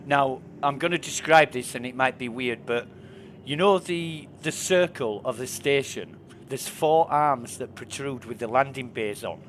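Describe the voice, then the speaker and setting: A man with a Yorkshire accent chats casually while streaming a space game, explaining directions to a friend step by step. His voice sits at an everyday middle pitch. Faint background noise runs under his voice. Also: unhurried, a bit bright. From England. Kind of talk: casual gaming chat with friends.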